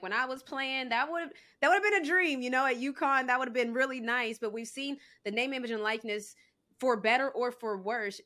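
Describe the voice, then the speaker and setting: A woman's voice: a little high, a bit bright. A woman in her thirties, a former pro player, hosting a casual interview and asking long, friendly questions. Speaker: podcast host, former pro basketball player.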